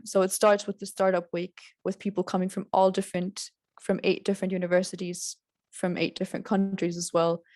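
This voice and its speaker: A woman hosts a virtual session and explains things clearly at a mid pitch. Her voice sounds dry and close, with no room around it. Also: darkish tone, no echo. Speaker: host. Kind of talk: virtual presentation. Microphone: video call.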